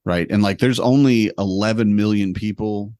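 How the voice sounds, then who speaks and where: A man tells a story in relaxed conversation, lively and poking fun at himself. His voice sits on the low side. The sound is dry and close, with no room echo. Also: normal speed. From United States. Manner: animated, self-deprecating, humorous storyteller.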